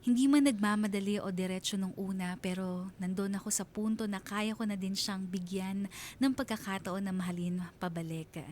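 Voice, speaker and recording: An actress playing a sincere mother who tells her love story in measured, heartfelt narration for a radio drama. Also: mid-range voice, steady tone, a little mellow, slight background noise, lightly acted. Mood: heartfelt, grateful.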